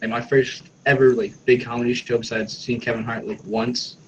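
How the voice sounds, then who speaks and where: Mid-pitched man, casual sweary gamer. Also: flat delivery, normal speed, dull audio, a little hiss or hum. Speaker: tabletop game player. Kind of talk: casual sweary banter during roleplay game.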